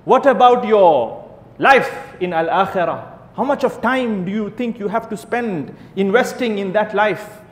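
Echoey preaching man in a hall. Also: on the high side. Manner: rhetorical questions, emphatic repetition. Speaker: Islamic preacher. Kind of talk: sermon to a live crowd. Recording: echoey hall with long reverb.

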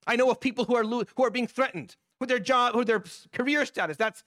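A man's voice: extremely high pitch, fast talker, fairly bright tone, no echo, studio quality. A man delivering a Friday sermon as imam, impassioned and repeating his points. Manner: impassioned, repetitive.

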